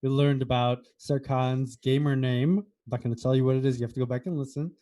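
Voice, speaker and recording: American man, podcast co-host, warm relaxed tone, casual delivery, wry, teasing. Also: mid-range voice, fairly quick. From United States. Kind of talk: casual chat.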